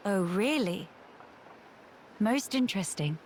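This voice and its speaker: A woman's voice: medium pitch, expressive, darkish tone, a little hiss or hum. An actress with an English accent playing a poised, regal young noblewoman who speaks formally in a video game cutscene. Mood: composed, curious. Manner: formal, measured. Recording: clear game music behind.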